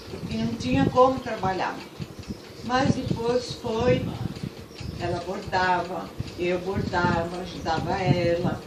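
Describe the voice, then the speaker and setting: Elderly woman in her eighties, from Portugal, short plain answers; far-off mic in an echoey room with loud noise. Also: on the high side. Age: 80s. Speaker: interviewee. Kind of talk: casual interview.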